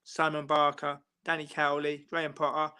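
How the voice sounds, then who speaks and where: A man's voice: on the high side, monotone, moderate pace, sharp tone, clean tone. A man, a football interviewer, talking at length in a casual chat, full of fillers and restarts. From England. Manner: long rambling answers with many fillers and restarts. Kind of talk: casual chat on a live stream.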